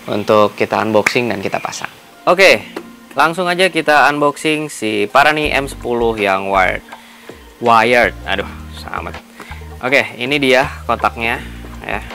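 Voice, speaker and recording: A man's voice: medium pitch, sharp tone. Male vlogger, Jakarta Indonesian accent, mid-range voice, informal unscripted product walkthrough over light background music. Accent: Indonesian, Jakarta colloquial. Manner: informal, explanatory, thinking aloud. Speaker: motovlogger, product unboxing host. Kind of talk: casual unscripted unboxing and tutorial chat.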